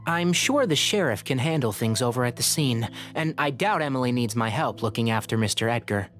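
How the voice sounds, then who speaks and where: A man voicing a calm young ranger and scholar in a video game, measured and reassuring. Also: medium pitch, natural voice. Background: game music.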